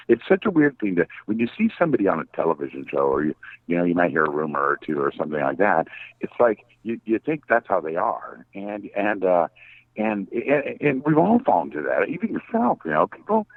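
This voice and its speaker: A man's voice: deep voice, husky, no echo. A middle-aged man talking as a guest on a casual radio interview, rambling and joking. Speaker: guest paranormal investigator. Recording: tinny phone line, dry.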